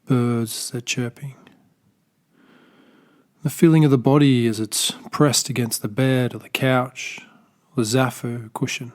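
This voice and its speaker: Man, Australian accent, meditation guide, soft and slow, unscripted, mid pitch. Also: steady tone. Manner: slow, soft-spoken, gentle guidance with pauses; unscripted, with small restarts. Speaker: meditation teacher. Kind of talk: guided body scan meditation.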